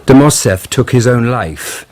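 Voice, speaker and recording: An actor playing a haughty crown prosecutor in a radio drama, formal and officious, with a husky voice. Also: male voice, mid-range voice, lively intonation, unhurried, a little mellow, close to an everyday voice. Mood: stiffly polite.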